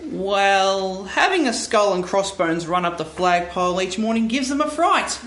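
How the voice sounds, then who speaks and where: A woman's voice: medium pitch, lively intonation, unhurried, a bit bright. A woman playing a meek, dutiful office clerk in a radio play, speaking politely and hesitantly. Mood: wry, sheepish. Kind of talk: stage radio play acting. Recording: roomy live studio, faint noise.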